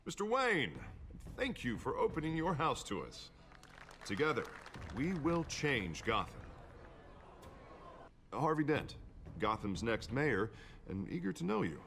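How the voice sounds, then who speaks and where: Polished politician, lively pitch, faint noise. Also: male voice, unhurried, pauses often. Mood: gracious. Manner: polished, gracious. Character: smooth ambitious male politician.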